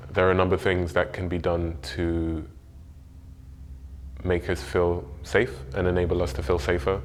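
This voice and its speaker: A man speaking in a measured, unhurried way in a documentary interview, with some "you know" fillers. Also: low voice, slight background noise.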